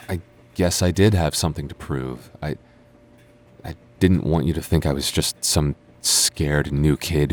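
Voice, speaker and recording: A man's voice: rumbling low, darkish tone. A man playing a defensive, teasing young childhood friend with a secret crush, stammering and bickering in an intimate boyfriend roleplay. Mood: sheepish, confessing. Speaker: ASMR roleplay voice actor.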